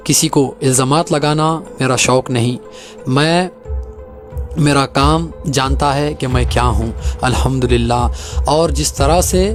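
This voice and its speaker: Emphatic Hyderabadi man, higher-pitched, over music. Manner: emphatic, repeats phrases. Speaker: host of a clarification video. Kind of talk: accusatory address to viewers.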